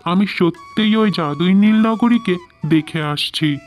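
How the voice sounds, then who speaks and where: Earnest fairy-tale young man acted by a male voice, high-pitched, formal and explanatory, cartoon with music. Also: measured pace. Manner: formal, explanatory storybook speech. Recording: music behind the voice.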